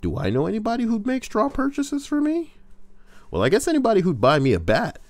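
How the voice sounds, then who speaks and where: A man's voice: high-pitched, wildly swooping pitch, fairly quick, darkish tone, dry recording. A man casually reading forum posts aloud on a livestream, breaking in with crude, joking asides.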